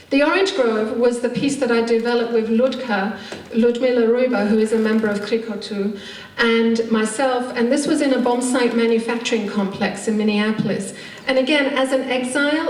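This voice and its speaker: Woman w english accent doing a conference talk, highish voice, bit distant from mic, academic wording. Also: a little mellow. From Turkish Cypriot immigrant. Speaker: theatre maker speaking on a conference panel. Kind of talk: conference panel presentation. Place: conference room, recorded from a distance. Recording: echoey room, distant mic, background noise.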